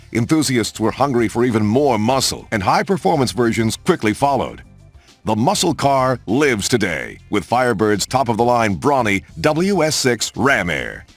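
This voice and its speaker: A man with a husky voice delivers polished promotional narration for a car showcase. His pitch moves in broad, animated sweeps, and each phrase is given an announcer's emphasis. Music plays behind him. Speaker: narrator of car showcase videos in a racing game.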